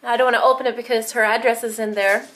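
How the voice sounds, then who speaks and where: A woman's voice: on the high side, steady tone, fast, a bit bright, rough recording. A woman vlogging at home, chatting casually while she holds up greeting cards and reads them aloud into a handheld camera. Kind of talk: casual vlog narration.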